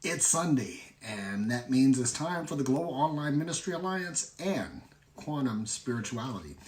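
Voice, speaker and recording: A middle-aged American man with a slightly raspy voice, hosting an online ministry livestream in a casual, folksy way and addressing viewers directly. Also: medium pitch, sing-song, moderate pace, darkish tone, slight background noise. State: raspy voice today. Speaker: online ministry host and teacher. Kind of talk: casual livestream talk with shout-outs.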